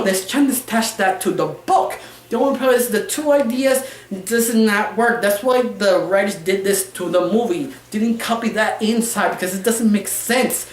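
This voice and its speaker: A woman giving a casual, opinionated review, rambling and halting, with non-fluent English phrasing. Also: on the high side. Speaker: cartoon reviewer. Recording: roomy, echoey sound.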